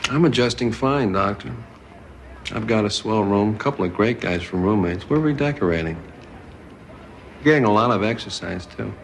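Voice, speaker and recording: An actor playing a wry, stubborn convict pianist in a naturalistic television drama. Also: male voice, fairly low pitch, lively intonation, moderate pace, a little hiss or hum. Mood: wry, guarded. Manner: sardonic, stubborn. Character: defiant, wry male convict pianist, wrongly imprisoned.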